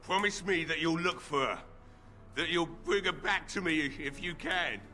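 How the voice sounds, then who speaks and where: A bright-toned, bitter drunk blacksmith. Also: male voice, on the high side, normal speed, soft background music. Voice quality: bitter, weary, slurring drink-talk. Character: grieving drunk village blacksmith, middle-aged man. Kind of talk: video game voice acting.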